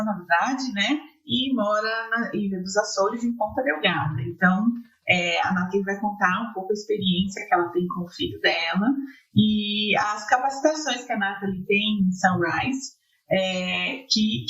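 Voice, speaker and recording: A woman's voice: medium pitch, a bit bright. Brazilian host woman, echoey dull audio. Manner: hesitant, many fillers. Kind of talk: interview chat. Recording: echoey dull-sounding room.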